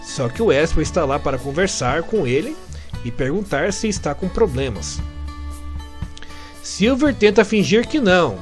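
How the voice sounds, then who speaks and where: A man's voice: on the high side, very animated, normal speed, soft background music. A Brazilian man narrating a comic-story recap in a conversational, chatty way with amused asides. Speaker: narrator and fan translator of comic stories.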